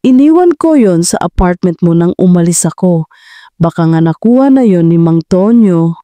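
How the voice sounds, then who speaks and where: A woman's voice: on the low side, expressive, normal speed, mellow, no echo, close to an everyday voice. A woman voicing a poised, evasive ex-girlfriend in an audio story, defensive and insistent as she gives up secrets. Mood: confessing, insistent.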